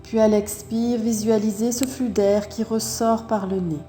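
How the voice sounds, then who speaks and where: A woman teaching yoga, guiding breath and posture step by step in a calm, measured way with pauses. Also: on the high side, echoey room, soft background music. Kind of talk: guided yoga and breathing instruction.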